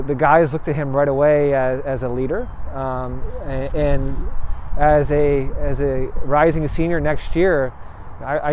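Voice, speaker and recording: Measured man coach talking. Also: mid-range voice, a little mellow, a little hiss or hum, low-quality recording. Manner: measured, thoughtful with fillers. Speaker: soccer coach. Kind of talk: interview.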